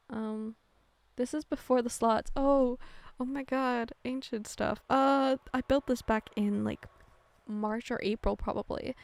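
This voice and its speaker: A young woman chats casually, rambling and correcting herself with plenty of fillers. Her fairly high voice carries a gravelly vocal fry. She is recorded close up on a dry microphone, with no room sound. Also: darkish tone, no room sound. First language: Norwegian. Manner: rambling, chatty, self-correcting with many fillers. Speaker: game builder narrating a speedbuild. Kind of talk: casual voice-over chat.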